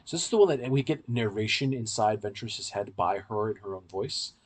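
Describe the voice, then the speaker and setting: A low-pitched man with a somewhat husky voice talks as a podcast co-host. He explains and analyses in a casual way, often correcting himself as he goes. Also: animated, brisk.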